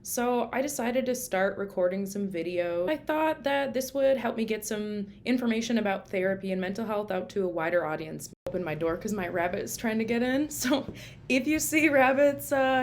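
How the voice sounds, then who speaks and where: A woman's voice: mid-range voice, a little hiss or hum. A woman with a Minnesota accent, a therapist talking casually and informally in a home video. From Minnesota, USA. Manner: chatty and informal. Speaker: licensed social worker and therapist. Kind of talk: casual video book review. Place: home room used for telehealth sessions.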